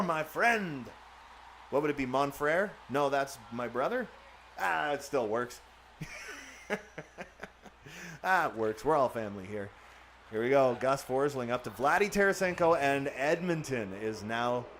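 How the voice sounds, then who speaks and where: Excitable man calling a hockey video game. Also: medium pitch, slight background noise. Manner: excitable, jokey, loud bursts on big plays. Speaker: gaming streamer doing play-by-play. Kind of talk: live-stream game commentary and chat reading. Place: home gaming setup.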